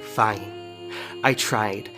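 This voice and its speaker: A woman's voice: rumbling low, fairly even intonation, extremely slow, loud music behind the voice, exaggerated voice. A woman playing an earnest, dramatic superhero in an audio drama, urgent and aggrieved. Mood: bitter, resigned.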